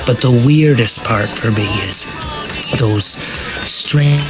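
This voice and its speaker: An actor playing a troubled small-town local haunted by a ghost in an audio drama, speaking in a halting, folksy way. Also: male voice, medium pitch, lightly acted. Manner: halting, hesitant, repeating words, folksy. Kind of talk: audio drama acting, naturalistic interview dialogue. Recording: music behind the voice.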